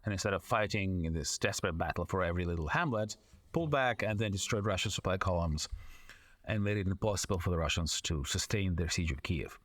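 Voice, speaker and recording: Low-voiced man giving measured interview answers. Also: fairly bright tone. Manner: measured, frequent "you know" fillers and restarts. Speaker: guest, foreign correspondent and author. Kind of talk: long thoughtful interview answers.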